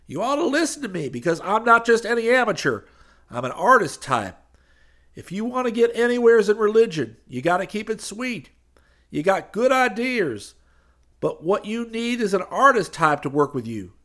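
Oily huckster preacher, high and pleading, very sing-song, as a man puts him on. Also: very animated, normal speed, fairly bright tone. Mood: wheedling. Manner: high sugary pleading voice, Southern drawl. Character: fast-talking oily male radio preacher, wheedling.

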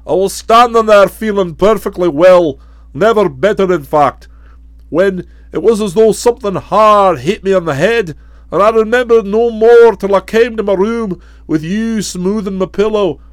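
A woman's voice: medium pitch, unhurried, no room sound, lightly acted. A woman voicing a pompous, towering English aristocrat who is dazed after a fall, speaking in a stiff and formal way in an audiobook. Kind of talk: audiobook character reading.

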